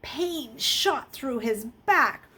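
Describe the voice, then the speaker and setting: A woman reading a children's novel aloud in an expressive storytelling style. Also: sits high, drawn-out words, breathy edge. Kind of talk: expressive storybook read-aloud with character voices.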